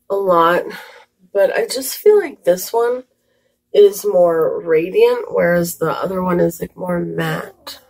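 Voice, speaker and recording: A woman's voice: fairly low pitch, a little mellow. Scratchy-voiced woman chatting through makeup. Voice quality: scratchy, raspy voice. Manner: chatty, rambling asides with many fillers. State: slightly hoarse from allergies. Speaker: makeup vlogger. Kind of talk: casual makeup tutorial chat.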